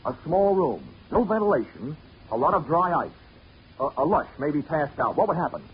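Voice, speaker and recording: An actor playing a wisecracking, hard-boiled investigator in a 1940s radio drama, speaking in a dry, clipped, wry way. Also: male voice, a little high, very animated, normal speed, slight background noise. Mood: brisk, urgent. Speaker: lead actor and narrator. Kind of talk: 1940s radio detective acting, first-person narration. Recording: dull old radio recording, loud music.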